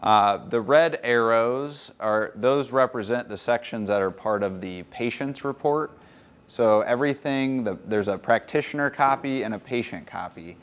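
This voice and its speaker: A man in his thirties giving a relaxed, chatty conference presentation in a hotel conference room. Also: mid-range voice, moderate pace, smooth. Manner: relaxed, chatty explaining with fillers.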